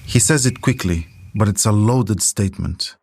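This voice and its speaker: A man narrating a scripted audio documentary in measured, dramatic phrases. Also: fairly low pitch, creaky, darkish tone, slight background noise.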